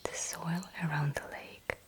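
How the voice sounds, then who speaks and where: A woman playing a gentle, courteous inn hostess in a fantasy ASMR roleplay, speaking in a soft, breathy whisper with formal, measured phrasing. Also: sits low, little pitch movement. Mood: softly storytelling. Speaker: innkeeper character in roleplay.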